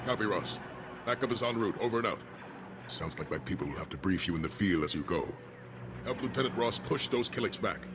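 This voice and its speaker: Man playing a deep-voiced gruff military colonel. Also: lively intonation, fairly quick, close to an everyday voice. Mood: urgent. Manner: clipped, authoritative military delivery. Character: gruff seasoned male army colonel, stern strategic advisor. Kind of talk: video game voice acting. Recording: music behind the voice.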